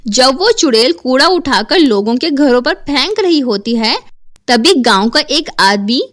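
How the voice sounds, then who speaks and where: A woman reading scripted narration for an animated horror storybook tale. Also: sits high, unhurried.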